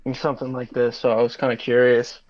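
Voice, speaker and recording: A man's voice: mid-range voice, monotone, quick pace, no room sound. A young man hosting a podcast, laid-back and casual, often saying "like". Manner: laid-back, heavy use of fillers like "like", admits knowing little. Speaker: podcast host. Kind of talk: casual chat. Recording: dull, muffled dry mic.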